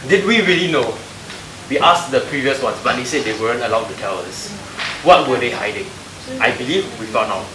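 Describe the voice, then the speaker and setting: A man's voice: mid-range voice, animated, a little fast, distant mic, background noise. A young man with a Singaporean accent chatting casually and jokingly to a camera indoors. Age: young adult. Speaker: student cameraman in a behind-the-scenes vlog. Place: indoor office studio. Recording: echoey room with background chatter.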